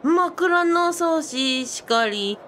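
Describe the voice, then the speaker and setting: Woman voicing an excitable, high-voiced boyish sidekick. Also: extremely slow, slight background noise, exaggerated voice. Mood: enthusiastic. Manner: polite, eager, quirky sentence endings. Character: excitable, eager, bookish boyish male sidekick. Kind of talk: comic radio drama dialogue.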